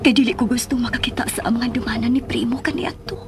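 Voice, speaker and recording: A sentimental, tender Filipino wife voiced by an actress in a Cebuano radio drama. She speaks in a high voice as if musing aloud, with clear music playing behind her. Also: level tone, quick pace, natural voice. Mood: wistful, tender. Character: wistful, sentimental married woman recalling an old love. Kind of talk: Philippine radio drama monologue and dialogue.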